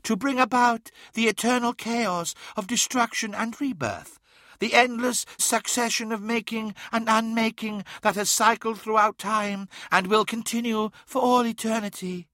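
A man's voice: high voice, pushed and bright, no room sound, clean studio sound, voice pushed up. A man playing an ancient, boastful demon god, speaking in a grandiose, taunting and commanding way in a fantasy audiobook. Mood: gloating.

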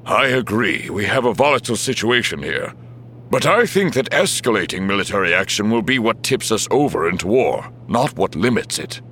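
A man voicing a wary, weary older military chief in an audiobook, with a formal, measured and emphatic delivery. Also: lowish voice, very animated, fairly bright tone, slight background noise, voice pushed up. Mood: grave, firm.

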